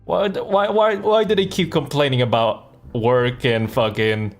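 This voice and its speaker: A man's voice: mid-range voice, fairly even intonation, measured pace, voice pushed up, close to an everyday voice. A man voicing an indignant, dismissive older-generation worker grumbling about the young, stammering in a comic impression. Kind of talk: improvised comic impression on stream.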